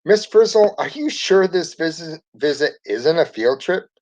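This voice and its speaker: A man's voice: a little high, lively intonation, unhurried, a little mellow, pitched above the performer's own voice. A man voicing a worried, reluctant schoolboy in a storybook, anxious and complaining. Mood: suspicious, worried. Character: worried, reluctant schoolboy dreading field trips. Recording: dull lo-fi recording.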